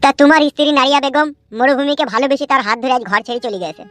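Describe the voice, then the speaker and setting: A woman's voice: high voice, very fast, a bit bright, soft background music. A woman playing a self-important male village politician in a comic cartoon, lecturing and brushing complaints aside. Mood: dismissive. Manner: pompous, lecturing, dismissive.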